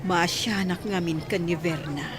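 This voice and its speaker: A woman voices a middle-aged mother in an audio drama, apologetic and ashamed. Her voice is slightly low and hesitant, with clear crowd sounds behind it. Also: measured pace, background noise, lightly acted. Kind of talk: radio-style audio drama acting.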